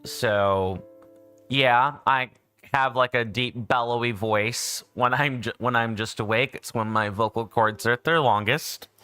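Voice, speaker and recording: A man's voice: mid-range voice, a little slow, bright, forceful tone, clean tone, no echo, faint music behind. A man, just woken up, chatting casually as a game stream host, rambling with frequent self-corrections. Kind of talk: casual livestream chat.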